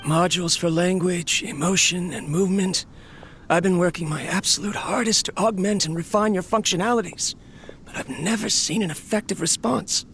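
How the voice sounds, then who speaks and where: A weary, lonely inventor. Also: male voice, sits high, fairly even intonation, normal speed, fairly bright tone, a little hiss or hum. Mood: resigned. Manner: tired, melancholy monologue. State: ill, coughing, weakening. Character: weary lonely man, a failing inventor talking to his machine. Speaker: game character.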